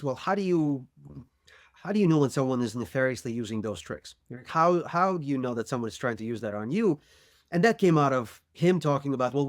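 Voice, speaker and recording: A man's voice: medium pitch, no room sound, clean studio sound. A man from Ukraine, co-hosting a podcast and telling a rambling, self-amused personal story. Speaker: podcast co-host. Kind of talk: casual interview, personal story.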